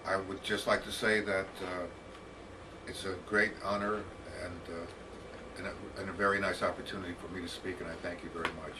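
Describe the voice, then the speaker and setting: An American man of about sixty telling his life story as a professor, with deliberate, halting, formal phrasing. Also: low voice, level tone. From United States, Detroit. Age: around 60. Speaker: professor, interview guest. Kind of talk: autobiographical storytelling. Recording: distant mic with faint background noise.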